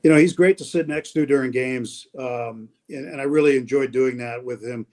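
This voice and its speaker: A middle-aged man from New Hampshire, a radio baseball announcer, chatting as an interview guest in a relaxed, rambling way with many fillers. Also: mid-range voice, normal speed. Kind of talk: casual interview conversation.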